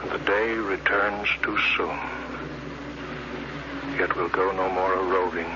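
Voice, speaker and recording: An actor playing a resolute, burdened spaceship captain in a grave, terse 1950s radio drama style. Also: male voice, deep voice, steady tone, slow. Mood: solemn, mournful. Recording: dull, noisy vintage radio recording.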